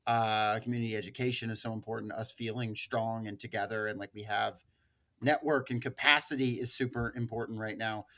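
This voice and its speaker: A man's voice: on the low side, steady tone, fairly quick, sharp tone. A man hosting a podcast, talking casually with a panel. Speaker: podcast host.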